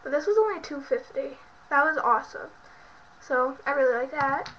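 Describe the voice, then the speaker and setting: A woman's voice: high-pitched, lively intonation, moderate pace, background noise. A young woman who is sick and sounds congested, chatting casually and rambling with lots of fillers in a bedroom, recorded on a webcam. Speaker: beauty vlogger. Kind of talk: casual product show-and-tell. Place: bedroom at home. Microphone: built-in webcam mic. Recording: muffled lo-fi webcam audio.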